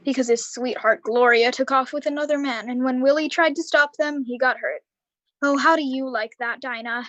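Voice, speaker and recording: Woman doing a worried mom in a radio play, highish soft voice, pretty dry sound. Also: normal speed, dry recording, highs cut off. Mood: grave, reproachful. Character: worried, brisk mother roused from sleep. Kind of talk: live radio drama acting.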